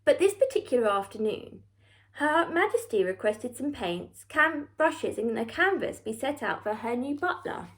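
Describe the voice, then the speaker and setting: A woman storyteller reading a children's book aloud with expressive, theatrical narration and deliberate emphasis. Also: on the high side.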